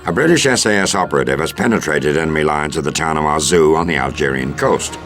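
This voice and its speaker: Very deep-voiced American army colonel. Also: male voice, expressive, fairly bright tone, soft background music, heavily acted. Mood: grave. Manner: formal, measured, authoritative. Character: stern, composed male American army intelligence colonel. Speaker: military officer giving a briefing. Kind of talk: scripted video game mission briefing.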